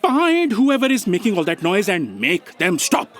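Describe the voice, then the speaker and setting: A man's voice: sits high, wildly swooping pitch, normal speed. A man playing a fussy, bossy, theatrical assistant in a comedic audio drama, exclaiming dramatically. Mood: urgent, scolding.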